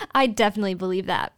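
A woman's voice: mid-range voice, a bit bright. A woman co-hosting a podcast, asking the guest's questions in an upbeat, scripted way. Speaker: podcast co-host.

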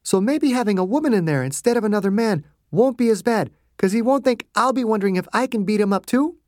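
A narrator voicing a confident, no-nonsense woman doctor, with a much higher voice than the narration. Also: expressive, a little mellow, no room sound, clean studio sound, natural voice. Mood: firm. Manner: brisk, firm.

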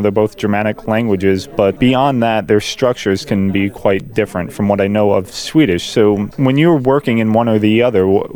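A man's voice: lowish voice, darkish tone. A man hosting a podcast, interviewing a guest at a convention with direct, plain questions. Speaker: podcast host. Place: convention venue.

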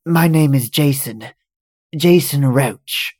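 A man voicing a calm male archaeologist in a story reading, speaking in a reassuring tone. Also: medium pitch, slow.

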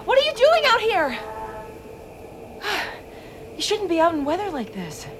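An actress playing a gentle, anxious woman coaxing a child in an audio drama. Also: very high-pitched, wildly swooping pitch, measured pace, slight background noise, exaggerated voice. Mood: concerned, coaxing. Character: concerned, gentle woman addressing a lost child.

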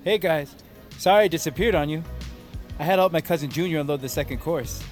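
An actor playing a modest, easygoing young artist in an audio drama, casual and self-effacing. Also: male voice, on the high side, fast, a little mellow, soft background music, lightly acted. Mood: good-natured. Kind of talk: naturalistic audio fiction acting.

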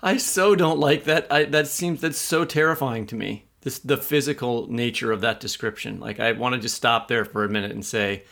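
A speaker in candid conversation, halting and emphatic in the way they put things. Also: medium pitch, creaky, a bit bright.

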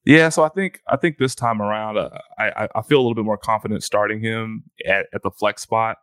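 Relaxed man on a dry podcast mic. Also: fairly low pitch, brisk, clean tone, no echo. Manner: restarts phrases often, loose and conversational. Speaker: fantasy football podcast co-host. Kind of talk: casual sports podcast chat.

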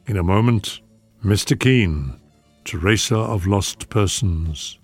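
A man reads formal introductions as a radio mystery host. His voice is low and very unhurried, with soft music underneath. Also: husky. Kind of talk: scripted narration introducing vintage radio shows.

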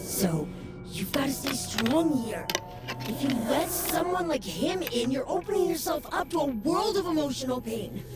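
A clingy pleading female companion, high swoopy voice, audio drama, pushy and emphatic. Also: big pitch swings, moderate pace. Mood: pleading, warning. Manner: insistent, cajoling, emphatic. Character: possessive, pleading female inner companion warning her friend. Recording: music behind the voice.

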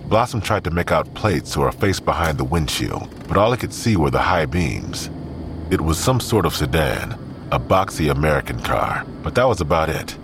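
A man's voice: a bass voice, background noise. A man narrating a scripted audio drama as a storyteller. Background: music.

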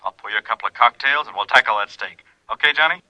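A very bright, quick voice of an anxious insurance man, thinned as if down a phone line. He stammers eagerly and dodges, in fifties radio drama style. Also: medium pitch, dry recording. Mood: nervous, evasive. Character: nervous, evasive, over-friendly male insurance office man. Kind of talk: 1950s radio drama acting. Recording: thin band-limited phone line, old radio.

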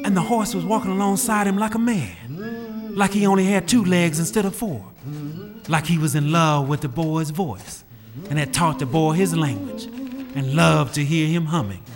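A man's voice: on the high side, moderate pace. A man narrating a folk tale in a lyrical, folksy way. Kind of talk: storytelling narration. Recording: music playing under the voice.

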